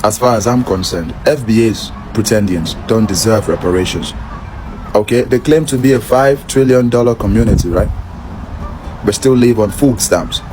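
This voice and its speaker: A man's voice: fairly low pitch, normal speed. A man on a city street giving blunt, dismissive answers to an interviewer. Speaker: passer-by being interviewed. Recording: noisy street, far from mic.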